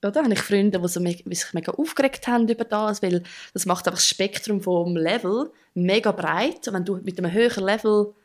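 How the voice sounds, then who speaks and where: A young woman with a Swiss German accent chats in a casual interview, mid-pitched and easygoing. She laughs often and restarts her sentences as she talks. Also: brisk. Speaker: interview guest, drummer.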